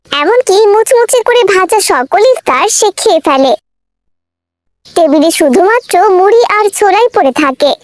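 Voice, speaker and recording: A woman narrates a children's cartoon tale in a high voice. The sound is close and dry, with a scripted storytelling delivery. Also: no room sound.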